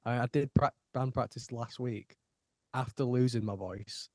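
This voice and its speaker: A man's voice: mid-range voice, normal speed, no echo, clean studio sound. A young man hosting a podcast, chatting casually and acting out what he used to say. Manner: animated storytelling, acts out his own past remarks. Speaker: podcast host. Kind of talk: casual chat.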